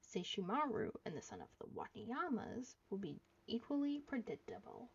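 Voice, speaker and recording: A woman's voice: fairly high pitch, very animated, moderate pace, slightly rough, lo-fi sound. A woman live streaming a game, reading dialogue aloud and reacting, sometimes stumbling over the words.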